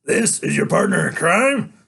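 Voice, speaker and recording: A man reading an audiobook and voicing a brutal, menacing father who speaks low, cold and weary. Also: big pitch swings, unhurried, vocal fry, sharp tone, husky, full character voice. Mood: sneering.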